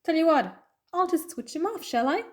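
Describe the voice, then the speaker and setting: A woman's voice: very high voice, animated, moderate pace, darkish tone. A woman playing a playful, restless alien time traveller with a chatty, quirky manner in an audio drama. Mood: playful, teasing.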